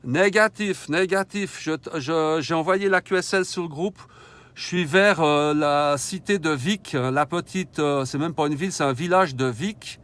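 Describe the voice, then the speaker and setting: A man's voice: on the high side, measured pace, a bit bright. A man operating an amateur radio from a vehicle, chatting in call signs and procedure words. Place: in a vehicle, mobile radio station.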